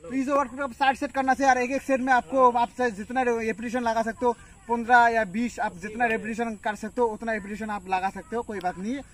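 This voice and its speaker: High-voiced young man coaching outdoors. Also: steady tone, fast talker. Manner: talks while lifting, counts reps aloud, many fillers. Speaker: fitness instructor. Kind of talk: casual workout demonstration. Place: open farm field outdoors. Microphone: camera at a distance. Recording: distant outdoor camera audio, faint noise.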